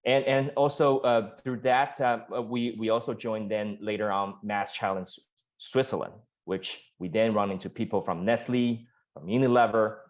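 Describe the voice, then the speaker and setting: A man from Taiwan, a startup founder answering investor questions earnestly, with frequent restarts and fillers. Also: normal speed. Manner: earnest, many restarts and fillers, rises in pitch when animated. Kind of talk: pitch Q&A answers. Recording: dull lo-fi sound.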